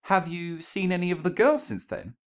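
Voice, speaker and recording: A man's voice: sits high, lively intonation, moderate pace, voice pushed up. A man voicing a genteel, gushing female cartoon pony in a storybook reading, refined and anxiously polite. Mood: forced politeness. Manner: refined, effusive, over-polite.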